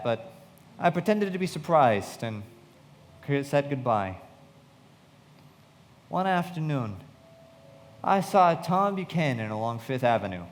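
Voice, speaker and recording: An actor playing an earnest, disillusioned young man who narrates a radio play. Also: a little high. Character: earnest, disillusioned young man recalling his friend's death. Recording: reverberant hall.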